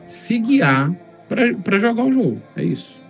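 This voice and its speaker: A man's voice: sits high, big pitch swings, unhurried, creaky. A man with a Brazilian accent talking casually on a podcast in a reflective, explanatory way. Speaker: tabletop gamer, podcast speaker. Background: faint music.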